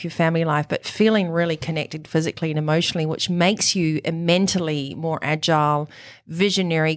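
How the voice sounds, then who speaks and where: Woman, New Zealand accent, middle-aged, low voice, energetic, dry close sound. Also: no echo. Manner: energetic, upbeat, full of catchphrases. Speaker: guest, performance coach and author. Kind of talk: enthusiastic interview talk.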